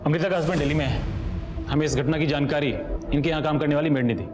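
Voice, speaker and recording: A man playing a local policeman who briefs colleagues gravely and factually in a TV crime drama. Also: a little high, fairly even intonation, fast, noisy background, natural voice. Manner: grave, matter-of-fact. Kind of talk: TV crime re-enactment acting.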